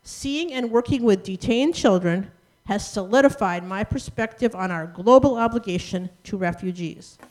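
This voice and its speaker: A young woman delivering a prepared personal testimonial speech, speaking in a measured, earnest way as a former intern. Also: medium pitch, a little mellow, rough recording.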